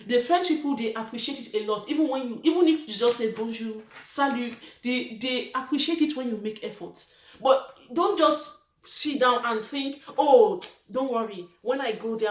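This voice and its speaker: A woman's voice: on the high side, roomy sound. A Nigerian woman talking to camera in a vlog, earnest and emphatic, repeating her points. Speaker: vlogger. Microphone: reads from a list below the camera.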